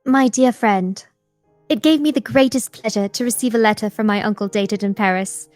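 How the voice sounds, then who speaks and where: A woman playing an affectionate, worried young gentlewoman, reading her letter in a formal, tender way. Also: medium pitch, normal speed, soft background music, natural voice. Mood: tender concern.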